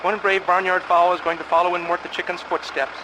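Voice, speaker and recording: Man playing cowardly cartoon chicken reporter, hurried and jittery, live report under very loud noise. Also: sits high, flat delivery, natural voice. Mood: nervous. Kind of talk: parody live news report. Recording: very loud outdoor vehicle-like noise.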